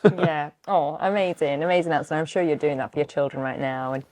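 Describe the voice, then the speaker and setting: Encouraging woman host, low-ish voice. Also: fairly even intonation, brisk, a little mellow. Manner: encouraging, frequent affirmations. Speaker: podcast host. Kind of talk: casual interview, quick-fire questions.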